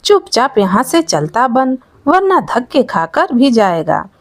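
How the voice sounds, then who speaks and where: A woman's voice: on the high side, very animated, measured pace, dry recording. A woman storyteller voicing a cunning, bullying male merchant who scolds and lectures in a moral tale. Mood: irritated, bullying. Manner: scolding, lecturing, self-righteous.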